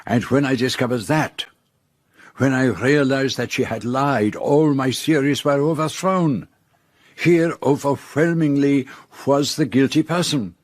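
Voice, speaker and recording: An actor playing a confident, methodical detective in a radio drama, speaking formally and deliberately as he lays out a case. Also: medium pitch, no echo, clean studio sound, close to an everyday voice. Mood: grave, explanatory. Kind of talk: radio drama acting, detective's denouement monologue.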